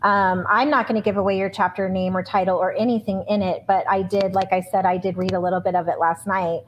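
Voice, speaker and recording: A woman's voice: mid-range voice, flat delivery, a little fast, slight background noise, rough recording. A woman co-hosting a podcast who talks in an effusive, rambling way, often restarting her sentences. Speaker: podcast co-host. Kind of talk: podcast interview.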